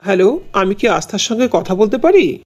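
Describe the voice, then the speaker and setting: A man's voice: high-pitched, sing-song, a little fast, a little mellow. A man voicing a polite contest official in a cartoon, explaining things courteously in announcement style. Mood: congratulatory. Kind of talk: Bengali fairy-tale cartoon dubbing.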